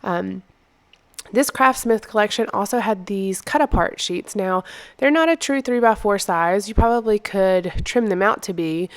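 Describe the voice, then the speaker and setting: Congested American woman, smooth clear voice. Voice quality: congested, stuffy-nosed. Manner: low-key, not very peppy. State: congested from being under the weather. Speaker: craft video host. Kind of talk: casual crafting voice-over, unscripted.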